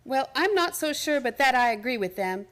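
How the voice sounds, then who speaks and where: A woman plays a protective housewife in a radio play. She chides softly in a high voice. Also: animated, normal speed. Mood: chiding. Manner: chiding. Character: gently scolding wife and protective mother. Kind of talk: stage-like radio play acting.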